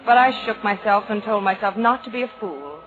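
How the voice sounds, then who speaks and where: A woman's voice: medium pitch, expressive, a little fast, natural voice. Woman as a romantic 1940s radio heroine. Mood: wry, confiding. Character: romantic, wry, slightly flustered young writer heroine. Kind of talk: 1940s radio drama acting. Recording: dull vintage radio broadcast.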